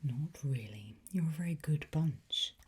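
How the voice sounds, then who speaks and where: A woman whispering breathily as a teacher in an ASMR roleplay, gentle, unhurried and encouraging. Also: low-pitched, lively intonation, slightly rough. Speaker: ASMR host playing a language teacher.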